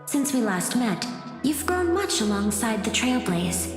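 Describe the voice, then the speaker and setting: Slow, solemn mysterious messenger woman. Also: fairly high pitch, very animated. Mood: calm, grave. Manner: solemn, formal, measured. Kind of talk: anime game voice acting. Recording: echoey long reverb, loud music.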